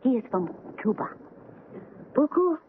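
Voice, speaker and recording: High-voiced proud foreign woman. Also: sing-song, unhurried. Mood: calm, friendly. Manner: simple formal phrasing without contractions. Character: proud, devoted foreign island woman aboard ship. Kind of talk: old-time radio drama acting. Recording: tinny old radio broadcast, faint noise.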